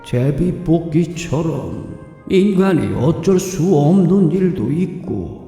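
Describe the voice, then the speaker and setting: Male actor as otherworldly old man, measured, solemn, soft mellow tone, heavy reverb, music underneath, audio drama. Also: on the high side, sing-song, vocal fry, heavily acted. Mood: calm, solemn. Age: older. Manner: slow, measured, philosophical. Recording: long cavernous reverb, music behind.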